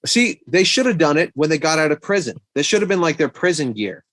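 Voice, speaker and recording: A man's voice: a little high, lively intonation, a little fast, a bit bright, no room sound. A middle-aged man co-hosting a podcast, chatting casually and offering commentary. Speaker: podcast co-host.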